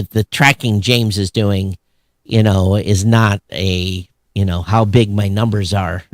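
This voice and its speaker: A man's voice: on the low side, no room sound, studio quality. A man talking casually and bluntly as a podcast co-host about his industry.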